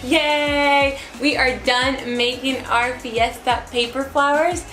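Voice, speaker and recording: A woman's voice: sits high, fairly even intonation, takes it slowly, fairly bright tone, reverberant, faint music behind. A woman from Mexico presenting an upbeat, step-by-step craft tutorial.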